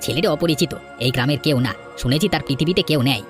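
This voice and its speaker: A man voicing a sly, money-loving village henchman in an animated folk tale, obsequious and wheedling. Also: a little high, fast talker, faint music behind, close to an everyday voice. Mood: sly, fawning.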